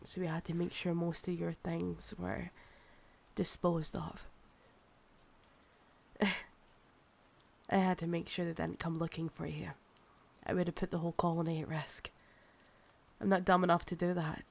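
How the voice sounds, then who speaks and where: A woman's voice: lowish voice, level tone, vocal fry. A woman with a Scottish accent playing a possessive, proud, seductive ancient vampire in an intimate ASMR roleplay, teasing and condescending. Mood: smug, cold. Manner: slow, teasing, condescending, calls listener darling.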